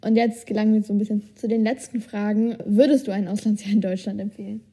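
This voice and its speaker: A woman's voice: mid-range voice, fairly even intonation, quick pace, dark tone, a little hiss or hum. A woman hosting a podcast, asking friendly questions and encouraging her guest. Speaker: podcast interviewer. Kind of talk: casual podcast interview.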